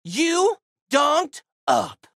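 A brash, big-hearted teenage boy hero delivers video game battle lines. His voice is high and swings wildly in pitch. He is slangy, emphatic and goofy, in a dry, close studio sound. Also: very animated, drawn-out words, a bit bright, dry recording, full character voice. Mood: pumped up.

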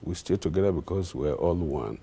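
A Nigerian man, a traditional ruler, answering interview questions in a halting way with many fillers. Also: deep voice, lively intonation, vocal fry, warm tone, slightly rough.

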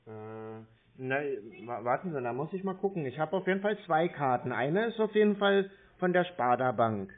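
A man from Germany stringing along a scam caller, slow and feigning confusion as he fumbles for details. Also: fairly high pitch, animated. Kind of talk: playing dumb on a prank call. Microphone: phone. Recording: tinny phone line.

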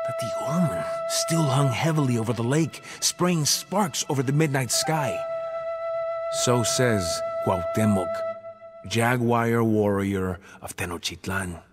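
An actor playing a proud Aztec jaguar warrior who solemnly recounts ominous events as dramatic game cutscene narration. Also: male voice, mid-range voice, close to an everyday voice. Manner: solemn, measured storytelling. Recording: music behind the voice.

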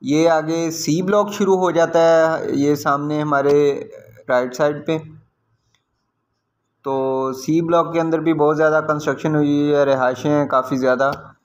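A man's voice: fairly high pitch, fairly even intonation, a little slow. A man with a Pakistani accent giving informal, explanatory commentary on a property site visit as a real estate video host.

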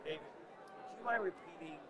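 A man's voice: high-pitched, moderate pace, a bit bright, some chatter behind. A man speaking up from an audience with a spontaneous question, faint and distant from the microphone. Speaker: audience member asking a question.

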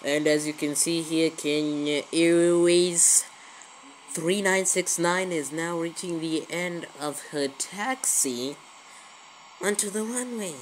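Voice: mid-range voice, slow, poor audio. A woman giving casual gameplay commentary as a video creator, often repeating words and phrases, recorded on a handheld phone. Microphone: handheld phone filming a screen. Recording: faint background noise, amateur phone recording.

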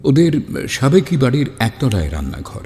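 A man's voice: mid-range voice, huge pitch swings, normal speed, a little mellow. An actor playing a man who narrates a horror story in the first person, as one recalling his friends. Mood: brooding, nostalgic. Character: male friend recounting a fateful ghost story. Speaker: narrator and character in audio drama. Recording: long reverb, music behind.

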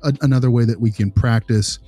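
A man's voice: mid-range voice, steady tone, fast talker, warm tone, soft background music, lightly acted. A man playing an easygoing behavior consultant, talking informally and reassuringly in a role-played meeting with a parent. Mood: explaining.

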